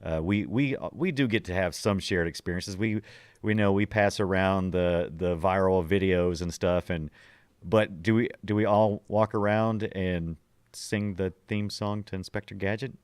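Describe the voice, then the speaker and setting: A man's voice: low-pitched, fairly even intonation, normal speed, clear, resonant tone, no echo. A middle-aged man hosting a podcast in a casual, jokey, rambling chat. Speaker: podcast host.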